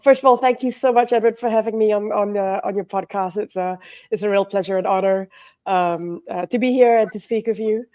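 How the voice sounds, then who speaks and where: Woman, 40s, from Malaysia, mellow tone, slightly nasal, chatty with fillers, remote interview. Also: mid-range voice, brisk. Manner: chatty, frequent restarts and fillers, laughs often. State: hay fever, a bit nasal. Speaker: hotel company CEO, podcast guest. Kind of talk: casual interview conversation.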